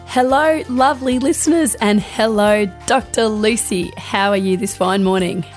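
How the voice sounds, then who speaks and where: Woman, hoarse from laryngitis, croaky, podcast co-host, chatty storyteller, mid pitch. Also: moderate pace, soft background music. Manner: chatty storytelling, gentle and earnest. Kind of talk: casual podcast chat.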